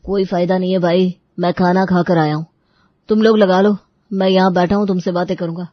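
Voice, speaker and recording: A woman's voice: on the low side, little pitch movement, brisk, dry recording, dull audio, natural voice. Lady doing a henpecked hubby, whiny n evasive, comic story, close dry recording. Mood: weary complaining. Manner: complaining, excuse-making. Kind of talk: comic audio story dialogue.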